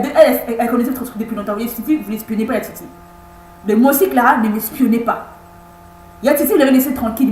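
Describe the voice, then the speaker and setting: A woman in her thirties recording a personal video message, halting and repeating words, insistent and pleading. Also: sits high, a little mellow. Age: 30s. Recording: echoey room with faint hum.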